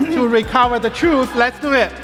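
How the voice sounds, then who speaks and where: A man presenting a software demo live on stage, playful and joking with the audience. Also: very high-pitched, moderate pace. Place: large event hall on stage. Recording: hall reverb with live crowd. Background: music and audience reactions.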